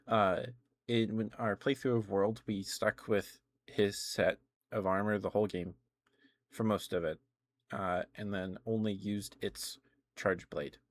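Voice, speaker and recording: A man's voice: lowish voice, fairly even intonation, a little slow. A man live streaming casual, rambling ASMR chat about games, often hesitating and correcting himself.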